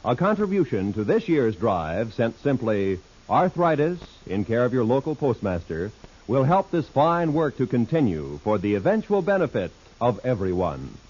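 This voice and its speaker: A male American announcer from old-time radio. He reads formally with polished emphasis and a very mobile pitch. The recording is muffled with age. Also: very animated, normal speed, a little mellow, slightly rough.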